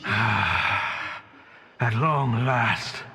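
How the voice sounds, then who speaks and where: A solemn game benefactor in search of an heir. His voice is extremely husky and drags very slowly, ringing in a long reverb. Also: on the low side, sharp tone, full character voice. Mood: solemn satisfaction. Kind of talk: video game voice acting. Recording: long reverb, faint music behind.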